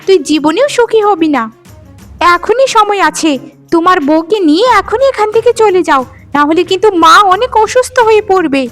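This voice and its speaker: A woman voicing a haughty, spiteful sister-in-law in a cartoon melodrama, sharp, bossy and scornful. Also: very high voice, expressive, normal speed, faint music behind. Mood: scornful, angry.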